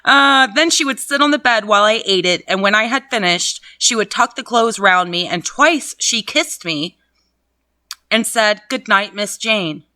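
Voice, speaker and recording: A woman's voice: mid-range voice, animated, measured pace, bright, forceful tone, no echo. A woman reading a classic novel aloud at home, breaking off for casual, laughing, profane asides. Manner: laughs often, swears, chatty asides. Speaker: reader and host. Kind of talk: casual book reading with commentary.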